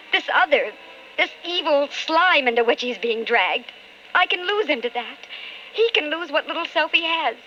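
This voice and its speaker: On a dull old radio recording with faint music, an anguished, calculating wife. Her delivery is intense and melodramatic, in a higher, forceful voice. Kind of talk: old-time radio drama acting.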